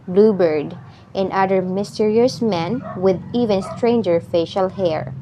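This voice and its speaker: A woman reading scripted educational material aloud in a measured, list-like way. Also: mid-range voice, a little mellow, low-quality recording. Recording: faint background noise.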